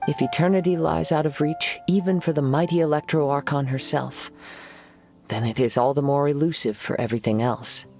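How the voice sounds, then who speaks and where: A man voicing a gentle young wandering poet in an anime game, serene, formal and soft-spoken. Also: on the high side, steady tone, a little fast, lightly acted. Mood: calm, reflective. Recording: music behind the voice.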